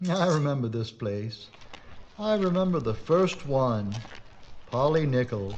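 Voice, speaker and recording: An actor playing a gloating, traitorous police inspector and killer in an audio drama, theatrical, smug and menacing. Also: male voice, on the high side, dull audio, a little hiss or hum. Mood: grandiose relish. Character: gloating traitorous chief inspector, murderous Nazi saboteur.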